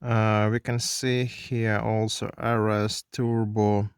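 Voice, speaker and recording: A man with a Russian accent reviewing a toy car at his desk, speaking halting English full of fillers and restarts. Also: on the low side, little pitch movement, clean tone, no room sound, clean studio sound. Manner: halting non-native English with many fillers. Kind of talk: hands-on unboxing review. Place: indoors at a desk.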